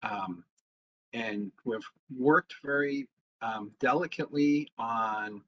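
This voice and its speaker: A man, a town historian, giving an informal public history talk, pausing often with ums and uhs. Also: mid-range voice, a bit bright, slight background noise. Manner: halting, fills pauses with frequent ums. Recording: dull lo-fi sound.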